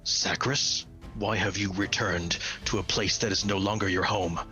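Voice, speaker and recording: Fantasy game guard , done by a male game master: resentful younger brother, stern, formal, forceful tone. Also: on the low side, steady tone, normal speed, faint music behind, voice pushed down. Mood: bitter.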